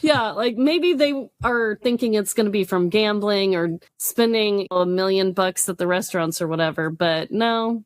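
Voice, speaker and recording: A woman co-hosting a casual podcast chat, expressive and supportive in the way she talks. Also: mid-range voice, normal speed, hardly any pauses, dry recording.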